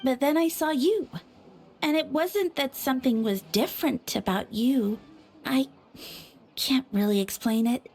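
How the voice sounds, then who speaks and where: An actress playing a dreamy, flustered, lovestruck young townswoman in a video game, with a halting, swooning delivery. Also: high-pitched, a little mellow, husky, full character voice. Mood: wistful. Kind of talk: video game character acting.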